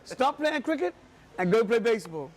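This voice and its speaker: A man's voice: very high voice, moderate pace, a little hiss or hum. A man chatting casually as an interview guest, telling anecdotes and repeating phrases for emphasis. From Bermuda. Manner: animated anecdotes, quotes people, repeats phrases. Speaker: interview guest, restaurant owner. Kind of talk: casual storytelling chat.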